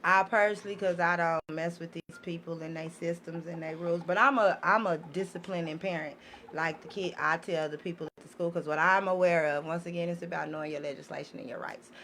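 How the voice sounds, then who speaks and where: Gravelly, talkative American woman, low-pitched. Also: steady tone, brisk, fairly bright tone. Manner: talkative, candid, profane. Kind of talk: casual opinionated group discussion. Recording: faint background noise.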